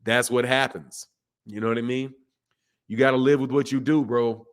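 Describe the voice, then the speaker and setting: A man hosting a casual boxing livestream and talking to his chat. His voice is hoarse from yelling, and he is emphatic, repeats points and often calls listeners bro or man. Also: medium pitch, steady tone, normal speed. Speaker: boxing channel host.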